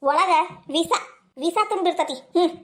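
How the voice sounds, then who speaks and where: Spiteful older mother-in-law played by a woman, very high-pitched, melodramatic, North Karnataka accent, for family drama. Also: steady tone, a little slow, a bit bright. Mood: taunting. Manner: taunting, melodramatic. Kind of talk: amateur family drama skit.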